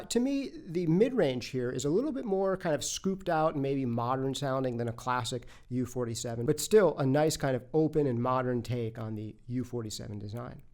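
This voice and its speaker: A man's voice: medium pitch, creaky, darkish tone. A man presenting in a conversational, explanatory way, speaking closely into studio microphones as if reviewing products. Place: recording studio.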